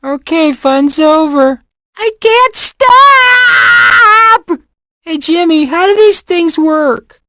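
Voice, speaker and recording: High, nasal, nervous cartoon boy. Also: expressive, very slow, very noisy, exaggerated voice. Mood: alarmed. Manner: anxious, hesitant, childlike. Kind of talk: cartoon game voice clips.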